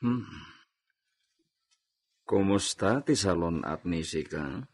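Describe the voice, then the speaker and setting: A man playing a domineering, lecherous husband and lord in a radio drama, speaking deliberately with Spanish phrases mixed in and a husky voice. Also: low-pitched, animated, darkish tone, a little hiss or hum, exaggerated voice. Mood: smug. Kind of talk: Ilocano radio drama acting.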